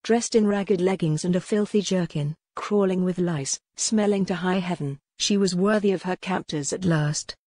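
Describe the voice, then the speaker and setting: A woman narrating a historical romance audiobook in a measured, dramatic storytelling manner. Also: lowish voice, a little mellow, no echo, studio quality.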